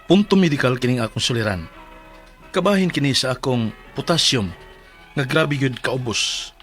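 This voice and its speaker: A man's voice: medium pitch, expressive, moderate pace, a bit bright, natural voice. An actor with a Cebuano accent playing an earnest, ailing 45-year-old husband who tells his troubles in a Philippine radio drama. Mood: earnest, troubled. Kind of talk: Philippine radio drama acting and letter narration. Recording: music bed under voice.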